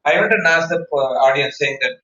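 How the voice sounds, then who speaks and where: Indian guy teaching public speaking online, kinda high forceful voice, muffled and far from the mic. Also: fairly quick. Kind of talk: online educational talk with personal anecdotes. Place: online session over video call. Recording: muffled, echoey online call recording.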